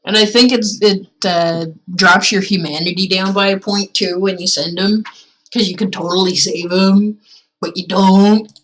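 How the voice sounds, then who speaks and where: A woman's voice: medium pitch, fairly even intonation, unhurried, a little hiss or hum, rough recording. A woman streaming a game with casual, teasing, irreverent commentary, chatting as she plays.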